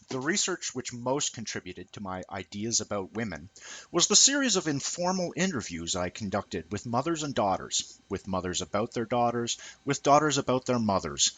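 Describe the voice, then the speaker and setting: Low-voiced man reading an essay, husky. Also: fairly bright tone, no echo, rough recording. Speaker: reader of a written essay. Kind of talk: scripted essay read aloud.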